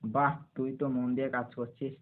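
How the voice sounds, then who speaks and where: A man's voice: medium pitch, level tone, fairly quick, natural voice. A man voicing a lazy, carefree young adult son in a cartoon, making placating excuses. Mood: pleased. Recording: narrow, phone-like muffled sound.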